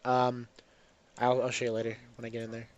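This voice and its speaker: A man's voice: medium pitch, completely flat delivery, a little fast, lo-fi sound. A man with a congested voice from a cold, casually commenting on his own gameplay with lots of ums and restarts. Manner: rambling, self-correcting, frequent ums.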